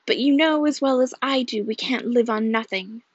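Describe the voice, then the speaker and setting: Woman playing an earnest young woman, high-pitched. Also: normal speed, no room sound, highs cut off, lightly acted. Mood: spirited. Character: patient, hard-working, spirited young woman.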